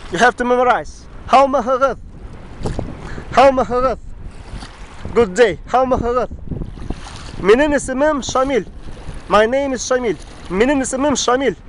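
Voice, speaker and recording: A man in his thirties gives a language lesson in a very high, light voice, speaking slowly and repeating each word twice. He films himself at arm's length on a boat, with a faint rush of wind and water behind him. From Bashkortostan. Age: 30s. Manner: patient, repetitive, drills words twice. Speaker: language teacher. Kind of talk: casual video lesson, repeating words slowly. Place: outdoors on a boat on a wide river. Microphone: camera mic, selfie framing at arm's length.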